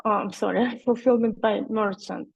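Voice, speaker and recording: A woman's voice: mid-range voice, steady tone, gravelly, slightly rough, no room sound, lo-fi sound. A woman in her forties from Russia, speaking with a Russian accent as a guest presenter on a webinar, with many hesitant fillers. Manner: hesitant, frequent "uh" fillers, slow and careful. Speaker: guest speaker, online seller.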